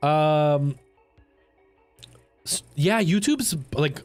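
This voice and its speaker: A man hosting a livestream, chatting casually and colloquially with his viewers in a rambling, animated way. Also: fairly high pitch, very slow, soft background music. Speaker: live streamer and host.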